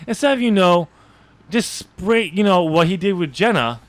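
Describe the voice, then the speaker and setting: A man's voice: high-pitched, expressive, moderate pace, no room sound. A man co-hosting a podcast, casually discussing a book in an informal, chuckling way. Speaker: podcast host.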